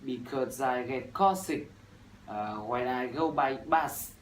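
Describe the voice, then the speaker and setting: A man's voice: mid-range voice, slight background noise. Vietnamese guy, 21, rehearsed english w/ uhs, far from mic in echoey room. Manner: measured, rehearsed delivery with "uh" fillers. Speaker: university student. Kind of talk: scripted classroom dialogue practice.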